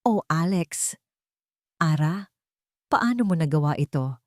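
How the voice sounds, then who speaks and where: A woman's voice: sits low, lively intonation, slow, dry recording, clean studio sound. A woman voicing a scheming girlfriend who feigns concern and soothes, in an audio romance story. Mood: feigned shock.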